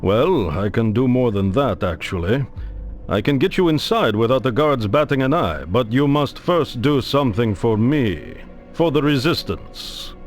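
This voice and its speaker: An actor playing a shrewd, bargaining resistance contact in a video game, deliberate and expressive in delivery. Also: male voice, medium pitch, close to an everyday voice. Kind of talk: video game cutscene voice acting. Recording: faint music behind the dialogue.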